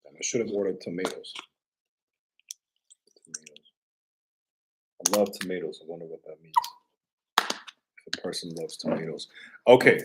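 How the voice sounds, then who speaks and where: A man chatting casually as a livestream host, halting and offhand, often repeating his phrases. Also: low voice, noisy background. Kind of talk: casual rambling livestream chat.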